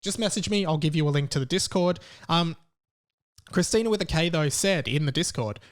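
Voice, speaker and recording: Young Australian man, slightly high voice. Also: animated, fairly quick. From Melbourne, Australia. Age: 20s. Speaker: podcast host. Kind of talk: casual solo podcast monologue.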